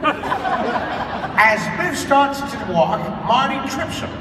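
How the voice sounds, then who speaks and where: A man's voice: extremely high pitch, big pitch swings, very slow, crowd noise. A man narrating a live comedic script reading in a convention hall. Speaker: narrator of a table read. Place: large indoor convention hall. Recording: echoey noisy convention hall, far from mic.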